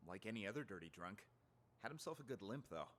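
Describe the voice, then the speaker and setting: A man's voice: lowish voice, animated, fast, fairly bright tone, a little hiss or hum. A man playing a gossipy junior policeman, eager to explain, in an audio drama. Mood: informative, curious.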